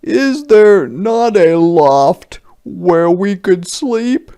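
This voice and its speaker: Man putting on a high-pitched coaxing storybook soldier. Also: animated, very slow, warm tone, clean tone, heavily acted. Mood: grand, polite. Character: crafty, cajoling soldiers angling for soup ingredients. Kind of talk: children's storybook character voice.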